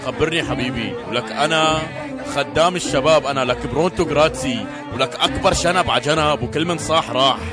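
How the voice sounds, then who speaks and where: A man's voice: fairly high pitch, fairly quick, music in the background, lightly acted. A man playing a boastful young gang boss who swaggers and reels off rhyming boasts. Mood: swaggering.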